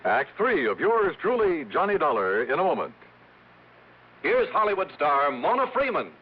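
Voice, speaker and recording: Male vintage radio announcer, high and very animated, dull recording. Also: moderate pace, soft background music. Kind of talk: 1950s radio commercial announcing. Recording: dull, faintly noisy old radio recording.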